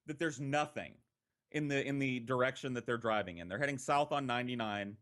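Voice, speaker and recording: An American man tells a story in a casual podcast style, with a middle-pitched voice. He talks conversationally, swears freely and leaves dramatic pauses between short phrases. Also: a bit bright. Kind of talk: casual anecdote telling.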